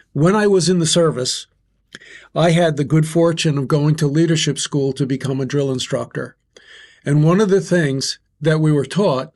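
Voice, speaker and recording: An older man hosting a group discussion, who talks loudly and lays out his points one by one like a teacher. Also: on the high side, creaky. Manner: loud, instructive, counts points off. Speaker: host. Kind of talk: discussion facilitator.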